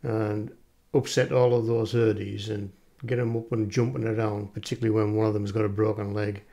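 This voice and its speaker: A man's voice: fairly low pitch, level tone, brisk, creaky, dark tone, no room sound. A man narrating his fell walk casually on a camera mic outdoors, chatty and pointing out the views. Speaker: hiker filming his walk. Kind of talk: casual walking vlog narration. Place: outdoors on open fell paths. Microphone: camera mic, windy. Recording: faint outdoor noise.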